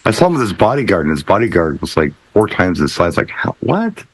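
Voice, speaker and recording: Low, swooping American man, casual chat. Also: sing-song, fairly quick, slightly rough, no echo. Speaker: panelist on a DJ talk show.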